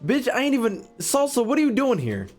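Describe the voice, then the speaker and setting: A man's voice: very high-pitched, wildly swooping pitch, a little fast. A young adult American man giving casual, joking, profane commentary as he streams a game. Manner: slangy, profane, joking, repeats lines back. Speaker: gaming streamer. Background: faint game music.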